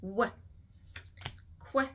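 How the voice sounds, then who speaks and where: A woman's voice: drawn-out words, slight background noise. A woman with a New Zealand accent teaching phonics, carefully sounding out each letter sound in a structured tutorial. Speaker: literacy teacher. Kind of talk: slow instructional phonics tutorial.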